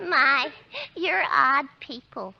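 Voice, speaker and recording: An actress playing a fussy, literal-minded hotel maid in 1940s radio comedy, rambling on in long, earnest complaints. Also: female voice, sits high, expressive, slow, vocal fry, exaggerated voice. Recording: noisy, muffled old radio recording, clicks.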